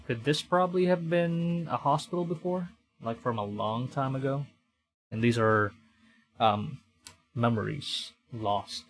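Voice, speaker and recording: A man's voice: mid-range voice, unhurried. A man from the Philippines, a streamer reading a horror visual novel aloud in a dramatic storytelling manner, with commentary.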